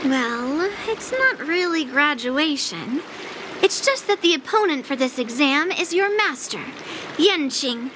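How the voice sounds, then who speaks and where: A high-voiced, composed sword mentor. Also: female voice, sing-song, slow pace, noisy background, full character voice. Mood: explaining. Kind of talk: anime-style game voice acting. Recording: music behind the voice.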